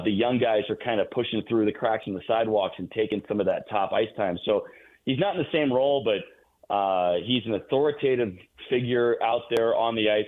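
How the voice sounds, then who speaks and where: A man giving sports analysis as a guest announcer on a radio show, heard over a phone-like line. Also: lowish voice.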